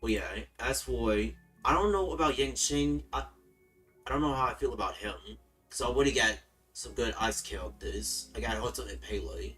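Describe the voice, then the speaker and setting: A laid-back man from the United States chats through his game stream in a relaxed, rambling way. He often restarts and repeats his words. His mic sits a bit far off, with faint game music underneath. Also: medium pitch, moderate pace. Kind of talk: casual gaming stream commentary.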